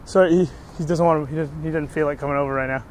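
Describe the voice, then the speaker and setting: A young man landing a fish from a dock, playful and teasing, talking quickly when flustered, picked up by a companion's camera. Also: fairly high pitch, fairly even intonation, slight background noise. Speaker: fishing buddy. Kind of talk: casual outdoor banter. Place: riverside dock outdoors.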